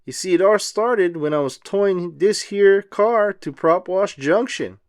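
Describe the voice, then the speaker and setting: A man's voice: high-pitched, a little slow, no echo, clean studio sound, pitched above the performer's own voice. A man voicing a cheerful, boastful tow truck in a storybook, with a folksy, eager manner. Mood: encouraging. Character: cheerful boastful tow truck who learns stunt flying. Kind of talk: storybook character voice.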